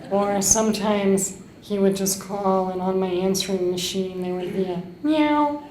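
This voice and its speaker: A woman's voice: mid-range voice, a little slow, warm tone. A middle-aged woman giving a personal eulogy full of anecdotes, speaking into a stand microphone in an indoor hall. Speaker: eulogist at a memorial, sister of the deceased. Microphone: microphone on a boom stand. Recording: roomy echo, clear background noise.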